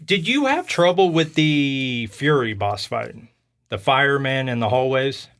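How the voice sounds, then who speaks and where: A man's voice: medium pitch, animated, unhurried, a bit bright, smooth. A man talking casually and with strong opinions as a podcast host discussing video games, telling stories informally.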